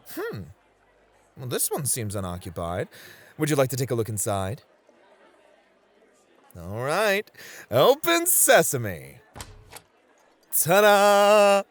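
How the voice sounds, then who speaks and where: A man playing a suave, playful club host in a flirty ASMR roleplay, with smooth, teasing, theatrical hospitality. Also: fairly high pitch, a bit bright, heavily acted. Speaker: club host showing a guest around.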